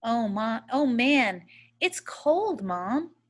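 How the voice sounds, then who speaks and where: A woman's voice: fairly high pitch, very animated, very slow, full character voice. A woman voicing an anxious, determined boy in a storybook reading, with an urgent delivery. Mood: worried. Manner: urgent, hollering at times.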